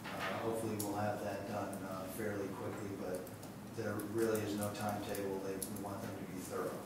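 A man with an Ohio accent giving matter-of-fact updates at a city council meeting, speaking haltingly with many fillers. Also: fairly low pitch, little pitch movement. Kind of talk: public meeting remarks. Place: large council chamber. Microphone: desk microphone heard from a distance. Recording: very echoey chamber, distant mic, background hiss.

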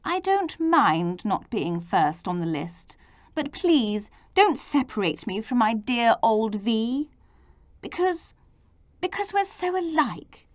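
A mournful, devoted storybook character, voiced by a woman reader. He whimpers plaintively and takes his time, his voice on the high side. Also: very animated, slow, no echo. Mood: sad, pleading. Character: sad, devoted male letter W, clinging to friend.

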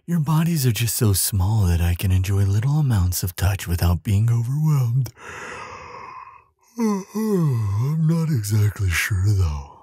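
A tender, earnest giant acted by a man murmurs in a very husky, breathy voice, close and dry. He takes his time, soothing and affectionate, with lilting, expressive intonation, as in an intimate ASMR roleplay. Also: on the low side, dry recording, exaggerated voice. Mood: earnest, thoughtful. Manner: tender, unhurried, hesitant murmuring with soft hums and sighs.

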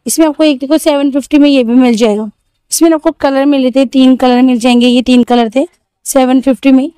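A woman's voice: sits high, fairly even intonation, a little fast, mellow, clear, resonant tone, no room sound. A woman vlogging from an outdoor street market on a handheld phone, chattily repeating each price for her viewers. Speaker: shopping vlogger. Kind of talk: market walkthrough vlog.

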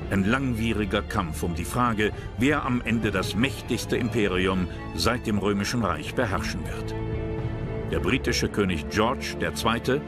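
A man narrating a historical documentary from a script in a measured, serious manner. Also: on the low side. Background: loud music bed.

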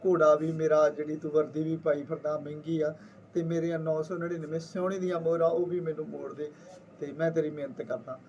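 A man's voice: a little high, flat delivery, brisk, darkish tone, far from the mic, lo-fi sound, a little hiss or hum, pitched below the performer's own voice, lightly acted. Man playing a stingy, protesting Punjabi rich man. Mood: begging. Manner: protesting, repeats words.

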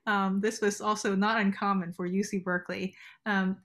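Woman, medium pitch, academic panelist, informal talk, video call from a home room. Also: level tone, moderate pace, fairly bright tone. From Hong Kong and Canada. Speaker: music researcher and professor.

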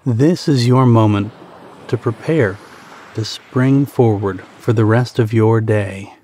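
A man narrating a guided meditation in a soothing, deliberate manner, pausing between short phrases. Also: lowish voice, mellow, a little hiss or hum.

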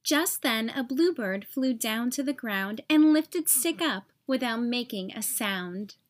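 A woman hosting a read-aloud, telling a children's story in an expressive storytelling style. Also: fairly high pitch, slow pace. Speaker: children's story reader, host.

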